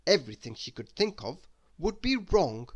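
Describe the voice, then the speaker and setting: A children's storybook narrator with a mid-range voice. The delivery is animated and expressive, with emphasis placed for young listeners. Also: medium pitch, moderate pace, dull audio. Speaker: storybook reader. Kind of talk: children's read-aloud narration.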